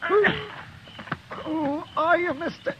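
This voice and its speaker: Craven outlaw, high-pitched, panicky, American accent, old radio drama, muffled recording with hiss. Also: male voice, slow pace. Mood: terrified, pleading. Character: cowardly, panicky weasel-like outlaw cowhand. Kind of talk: 1950s radio western acting. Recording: dull, noisy vintage radio recording.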